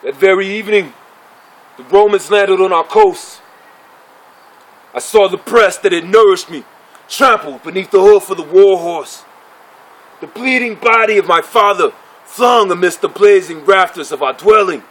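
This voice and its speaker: Man acting a high-voiced, proud gladiator chief orating. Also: a bit bright, low-quality recording. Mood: grieving. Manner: declamatory, formal oratory with deliberate pauses. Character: proud, bitter gladiator chief addressing his fellows. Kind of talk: theatrical dramatic monologue.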